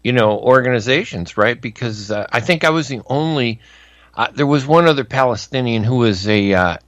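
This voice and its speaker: A man's voice: mid-range voice, expressive, moderate pace, fairly bright tone, no echo, lo-fi sound. A man in his sixties hosting a radio interview, talking in a conversational way and often asking for agreement. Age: 60s. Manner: conversational, seeks agreement with tag questions. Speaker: radio host and journalist.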